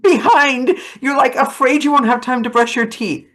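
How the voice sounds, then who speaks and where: A woman's voice: sits high, normal speed, a bit bright. A middle-aged woman in casual conversation, candid and emphatic, often putting her points as rhetorical questions. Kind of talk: casual chat.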